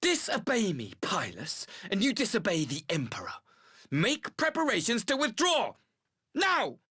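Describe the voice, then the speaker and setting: A commanding imperial envoy from a game drama. The voice is bright and cutting, with very wide swings of pitch, stern and formal in its clipped orders. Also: male voice, huge pitch swings, unhurried, husky, heavily acted. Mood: angry command. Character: imperious male imperial ambassador, cold, commanding. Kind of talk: dramatic video game acting.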